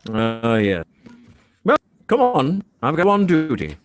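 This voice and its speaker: A man's voice: on the high side, wildly swooping pitch, slow pace, no room sound, heavily acted. A man reading the part of a puzzled, plain-spoken middle-aged policeman and father in a radio play, in a folksy manner. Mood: sceptical. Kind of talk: live radio drama script reading.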